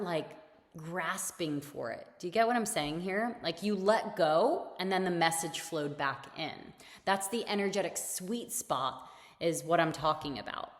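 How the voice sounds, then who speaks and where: Lower-pitched woman hosting a motivational podcast. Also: a bit bright, long reverb tail. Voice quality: slightly raspy voice. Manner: casual and conversational, repeats key points, laughs between phrases. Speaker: podcast host. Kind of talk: motivational solo podcast talk.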